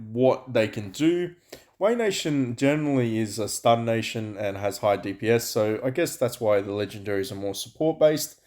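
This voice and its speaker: A man hosting a gaming channel, talking loudly and chattily through gameplay with many fillers and restarts. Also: medium pitch. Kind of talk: casual gameplay commentary. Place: home room, night, dogs and frogs outside.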